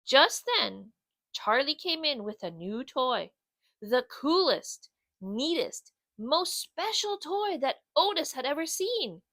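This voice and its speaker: A woman narrates a children's picture book with very animated intonation. Her voice sits slightly high with a creaky edge, and she takes her time over each phrase in an expressive storytelling manner. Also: slow pace, dry recording.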